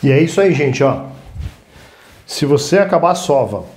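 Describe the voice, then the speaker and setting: A man's voice: on the high side, big pitch swings, normal speed, darkish tone. A man with a Brazilian Portuguese accent hosting a casual step-by-step cooking demonstration, informal and instructive, often adding little check-in tags. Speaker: cooking show host.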